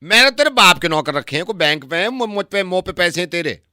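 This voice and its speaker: A man's voice: high-pitched, sing-song, fast talker, bright, forceful tone, smooth, no echo, studio quality, voice pushed up, heavily acted. A man voicing a surly rustic bank clerk on a prank call, rambling and scolding in a rural North Indian Hindi accent. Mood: irritated, scolding.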